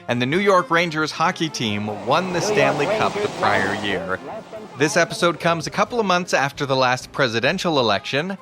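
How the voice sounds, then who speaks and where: A man's voice: on the high side, big pitch swings, moderate pace, over music. A man hosting a podcast with scripted, explanatory narration. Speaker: podcast host.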